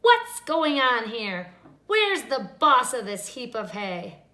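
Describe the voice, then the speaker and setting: A woman's voice: extremely high pitch, animated, takes it slowly, pitched above the performer's own voice, heavily acted. A woman reading aloud and voicing a greedy, bossy witch who screeches and hurls insults. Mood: bossy, screeching. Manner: shouting insults. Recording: roomy echo.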